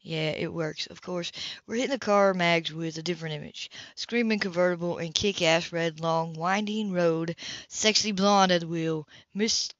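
Woman reading as a smooth, teasing adman, muffled. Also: on the low side, normal speed, no echo, lightly acted. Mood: breezy, confident. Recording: muffled lo-fi home recording.

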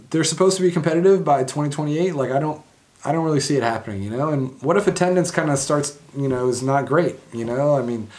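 A man's voice: mid-range voice, a little mellow. A young man hosting a sports news show from home, talking casually with many fillers, restarts and wry asides. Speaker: sports blog host. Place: home room indoors.

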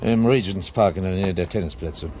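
Casting: man as gruff, needling chief inspector, lowish voice, dry sarcasm, vintage radio crime play with audible noise. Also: normal speed, lightly acted. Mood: matter-of-fact. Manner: mocking, needling. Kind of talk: 1970s German radio crime drama dialogue.

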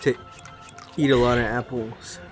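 A man's voice: mid-range voice, level tone, measured pace, faint music behind. A young man casually commenting on a video game his partner is playing, teasing, joking and swearing. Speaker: gameplay commentator watching partner play.

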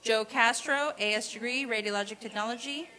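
A woman reading out a formal roll of graduates at a commencement, in a deliberate, repetitive announcing cadence. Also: on the high side, sharp tone. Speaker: commencement name reader. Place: outdoor college commencement ceremony.

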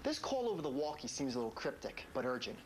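An American man in his thirties, a high school principal, speaking in a stern, authoritative way. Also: sing-song, quick pace. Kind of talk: reality show narration and firm talk with a student. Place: school offices and locker room. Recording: noisy reality TV location sound.

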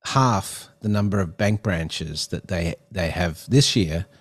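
A man's voice: sits low, slow pace, slightly rough. Chatty middle-aged male podcast host. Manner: rambling, many fillers and restarts. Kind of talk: casual interview chat. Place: home studio with neon sign. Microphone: headset and desk mic on video call.

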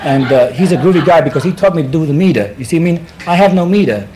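A man's voice: on the high side, animated, fast, dark tone. A man in his thirties with a Puerto Rican accent, a talk show guest rambling and giggling through comic stories in hip slang on a studio set. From Puerto Rico. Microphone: stand mic. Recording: old TV broadcast audio, hiss.

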